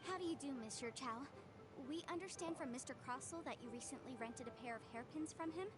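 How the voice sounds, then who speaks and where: A woman's voice: sits high, quick pace, natural voice. A woman voicing a young female legal advisor in a video game, speaking in a precise, formal and analytical way. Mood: polite, businesslike. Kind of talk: video game character voice acting. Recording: game music behind.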